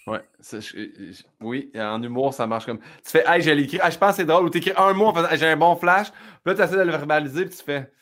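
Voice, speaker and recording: A man's voice: a little high, a bit bright. A man hosting a casual interview, chatty and fond of acting out quoted lines. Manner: chatty, quick asides, acts out quoted lines. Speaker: host.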